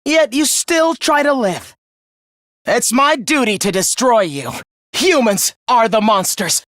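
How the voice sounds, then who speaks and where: A young male video game traitor with a voice that swings widely in pitch. He is gloating, contemptuous and genocidal, delivering his villainy with theatrical menace. The sound is dry and close. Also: expressive, takes it slowly, a bit bright, dry recording, heavily acted. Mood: manic rage. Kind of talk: video game voice acting, villain confession monologue.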